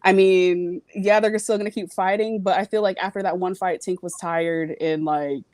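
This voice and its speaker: A woman's voice: on the low side, steady tone, normal speed, darkish tone, no room sound. A young woman from Virginia with Jamaican family, calling in to a casual chat show and talking frankly with lots of fillers and some swearing. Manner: rambling, frank, swears freely, many fillers. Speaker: call-in guest. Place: home.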